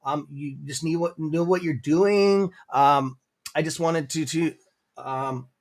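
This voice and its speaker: Rambling Canadian man, slightly high voice. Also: slow. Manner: rambling, filler-heavy, friendly. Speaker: guest presenter on a stock livestream. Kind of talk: casual livestream chat.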